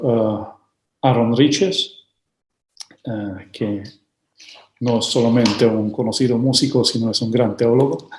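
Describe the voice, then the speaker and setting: A man speaking slowly and haltingly as he hosts a book presentation, often pausing on filler sounds between his formal introductions. His voice sits in a mid register and carries a roomy echo, heard over a video call. The delivery stays measured and courteous throughout. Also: mid-range voice, darkish tone. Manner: hesitant, frequent filler sounds. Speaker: host of a book launch.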